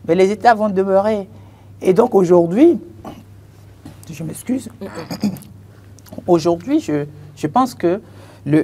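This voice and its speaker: A panelist on a televised political debate speaks in a voice of middle pitch with a dark, mellow tone. The delivery is hesitant and searching, full of pauses and restarts. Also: slight background noise. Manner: hesitant, many fillers and restarts. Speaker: panelist on a political talk show.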